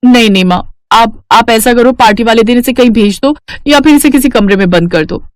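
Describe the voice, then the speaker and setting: A high-voiced, spoiled teenage girl in a cartoon fairy tale, played by a woman. She is whiny and haughty, with a jealous, cruel streak, recorded dry and close. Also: brisk, no echo, natural voice. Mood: whiny, spiteful. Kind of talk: Hindi cartoon story character acting.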